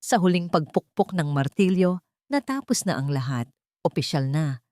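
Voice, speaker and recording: A woman's voice: on the low side, animated, normal speed, darkish tone, no room sound, clean studio sound. A woman with a Filipino accent reading a scripted, dramatic love story as its narrator.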